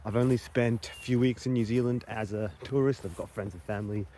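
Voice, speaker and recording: A man's voice: mid-range voice, fairly even intonation, brisk, dark tone. A young Australian man from Queensland talking casually to camera, with frequent ums and uhs. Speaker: vlogger.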